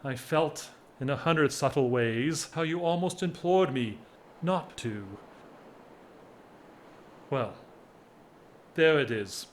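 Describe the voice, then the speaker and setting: Man playing a slow-spoken, lovelorn English gentleman. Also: a little high, animated, a little hiss or hum. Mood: resigned, regretful. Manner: formal, wistful, wry, measured speech. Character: lovelorn, weary upper-class English gentleman, restrained and wry. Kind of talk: stage-style period radio drama acting.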